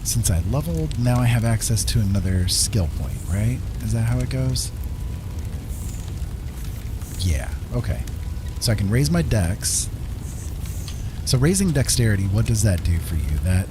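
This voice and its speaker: A man's voice: on the low side, big pitch swings, normal speed, noisy background. A middle-aged man giving casual, easygoing live gameplay commentary, thinking aloud as he chats with viewers. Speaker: game streamer. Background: loud game music.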